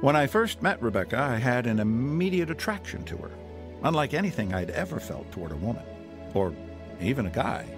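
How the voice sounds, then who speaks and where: A man's voice: mid-range voice, sing-song, a little fast, long reverb tail, over music. A man narrating his testimony in an earnest, preaching manner, quoting scripture.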